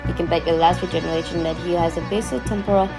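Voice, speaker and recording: Actress as brisk female commander, music behind. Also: on the low side, fairly even intonation, natural voice. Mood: curt, irritated. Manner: businesslike, curt, explanatory. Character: brisk, no-nonsense female time-travelling commander, impatient and businesslike. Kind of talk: audio drama acting.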